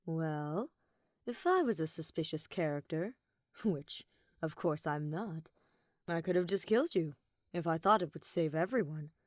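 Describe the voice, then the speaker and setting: A woman voicing a calm, guarded teenage boy accomplice in a story reading, speaking in a measured, plain way. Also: deep voice, no room sound, studio quality. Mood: cool, wry.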